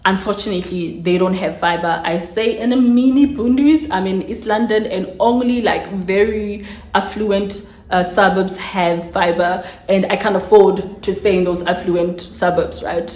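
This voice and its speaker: A woman with a South African accent talks casually to her viewers at a medium pitch, circling back and restarting phrases as she goes. She speaks straight to her audience from home. The room rings with noticeable echo. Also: unhurried, a little mellow. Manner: chatty, restarts phrases, addresses viewers directly. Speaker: vlogger giving career tips. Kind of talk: casual explanatory talk to viewers.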